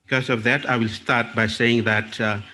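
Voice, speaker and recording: A man from Fiji facilitating a panel discussion at a lectern, speaking in a measured, deliberate way with small restarts. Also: mid-range voice, steady tone, sharp tone, a little hiss or hum. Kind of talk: formal event moderation. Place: conference hall. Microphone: lectern microphone.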